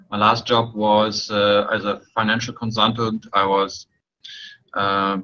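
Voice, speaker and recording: Slow german guy in his 60s, bright forceful voice, lots of ums, muffled call audio. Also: medium pitch. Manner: halting, many fillers, dry joking. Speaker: webinar guest. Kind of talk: casual self-introduction. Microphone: video call.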